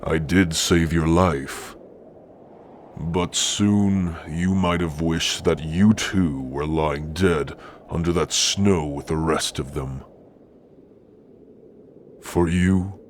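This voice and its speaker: A man voicing a slow, low, menacing orc warrior. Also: a little hiss or hum, exaggerated voice. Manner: deliberate, domineering, menacing. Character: grim, menacing male orc warrior of frozen mountains. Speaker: ASMR roleplay performer. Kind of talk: slow, scripted ASMR roleplay monologue addressed to listener.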